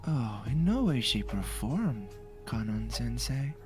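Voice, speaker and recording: A man playing a flamboyant, teasing ghoul mask maker in an anime audio roleplay, theatrical and playful with breathy asides. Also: mid-range voice, very animated, slow, a little mellow. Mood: theatrical, delighted. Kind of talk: anime fan audio roleplay with ASMR touches. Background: faint music.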